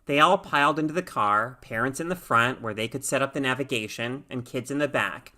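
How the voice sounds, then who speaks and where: A woman reading a short story aloud in a measured, expressive narrating style. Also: rumbling low. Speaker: story reader.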